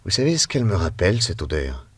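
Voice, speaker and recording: An actor playing a shrewd, cool detective who teases and commands his companion in a radio drama, dropping to hushed tones at tense moments. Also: deep voice, very animated, quick pace, slightly rough, dry recording, heavily acted. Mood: grave. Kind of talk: vintage radio drama acting.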